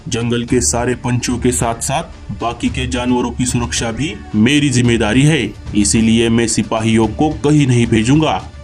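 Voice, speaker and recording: A man's voice: mid-range voice, steady tone, moderate pace, darkish tone, soft background music, natural voice. A man voicing an aging, principled bird king in a cartoon story, stern and responsible in manner. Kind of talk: Hindi cartoon story acting.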